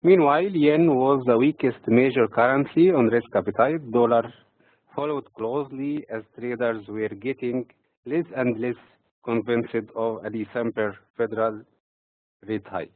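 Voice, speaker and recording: Man, Egyptian accent, financial commentator, reading from notes, halting measured phrasing, dry, thin phone-like audio. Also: medium pitch, no room sound. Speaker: financial markets commentator and trading teacher. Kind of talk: prepared market commentary, read from notes. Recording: tinny, phone-like narrow-band audio.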